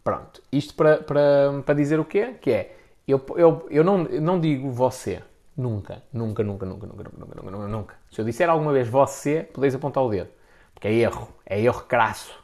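A man's voice: mid-range voice, lively intonation, fairly quick, darkish tone. A man with a European Portuguese accent giving informal, opinionated advice in a blunt, slangy, emphatic way, often repeating himself for emphasis. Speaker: content creator giving business advice. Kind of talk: informal opinionated talk to an audience.

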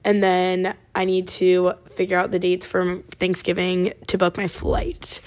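A college-age woman from Oregon hosting her podcast, chatting casually with a raspy voice and lots of fillers. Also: lowish voice, little pitch movement, unhurried. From Oregon, USA. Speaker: podcast host. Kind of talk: casual chat.

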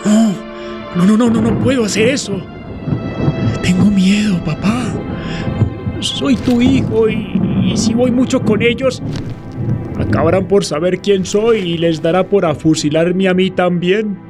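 Scared male peasant, high voice, dragging and hesitant, radio play scored with music. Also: a little slow. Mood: terrified, reluctant. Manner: hesitant, fearful, repeats words. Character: terrified, reluctant adult son of condemned peasant. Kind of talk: audio drama acting.

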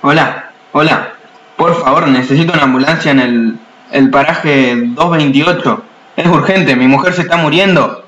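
Student acting desperate husband on emergency call, dull sound. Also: male voice, a little high, normal speed, fairly bright tone, echoey room, lightly acted. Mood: desperate, pleading. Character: desperate husband phoning emergency services, wife dying. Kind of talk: amateur radio play acting.

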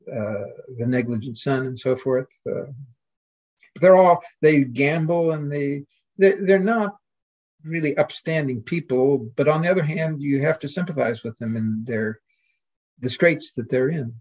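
A man's voice: mid-range voice, a little slow, a little mellow, slightly rough, highs cut off. A man speaking as a podcast guest, a literary scholar in casual scholarly discussion.

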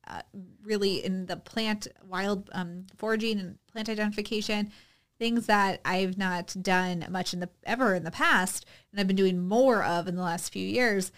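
Woman podcast co-host, casual chat, unhurried and reflective, easygoing. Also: mid-range voice. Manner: relaxed, reflective, hesitant with many fillers.

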